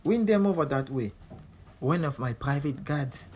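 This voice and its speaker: A man's voice: fairly high pitch, expressive, a little slow, a little mellow. An actor playing a proud, defiant world champion boxer who narrates his own story in a boastful, wry way for an audio drama. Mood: wry narrating. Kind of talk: audio drama monologue and dialogue. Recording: clear background noise and ambience.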